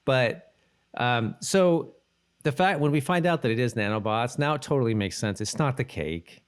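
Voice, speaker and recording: A man's voice: mid-range voice, lively intonation, normal speed, clean tone. A man co-hosting a podcast, casually talking through a TV show in laid-back, slangy speech. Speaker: podcast co-host. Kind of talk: casual TV recap chat.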